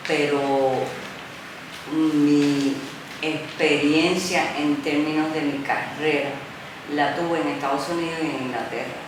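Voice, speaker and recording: A middle-aged Puerto Rican woman, a journalist, answering interview questions in an animated way, with many "eh" pauses and English fillers. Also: sits low. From Puerto Rico. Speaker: journalist, former news director. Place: art gallery space. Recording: echoey room, mic far off, noisy.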